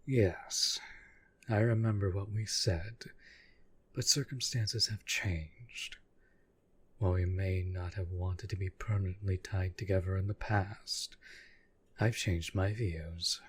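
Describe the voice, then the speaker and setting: Give the demon as a man acts him out a deep, breathy husk and a slow, deliberate delivery. He is a proud, jealous and devoted lover in an intimate audio roleplay, speaking in formal, intense phrases. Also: steady tone, exaggerated voice. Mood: insistent. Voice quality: husky, breathy.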